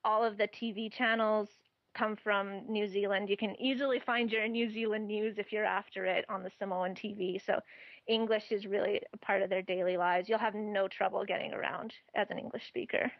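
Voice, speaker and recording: A chatty woman talking through her travel tips in an easy, casual way, with frequent laughs. Her voice sits at a middle pitch and sounds dry and close, on slightly dull, lo-fi audio. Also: steady tone, normal speed, no echo. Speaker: travel guest. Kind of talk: casual interview chat.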